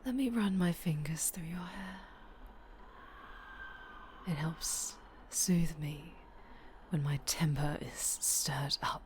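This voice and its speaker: A woman playing a possessive, obsessive winged dark-angel superhero, sinister yet doting, in an intimate, breathy ASMR roleplay that often slips toward whispering. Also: low voice, takes it slowly, pauses often, slight background noise. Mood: obsessive, soothing.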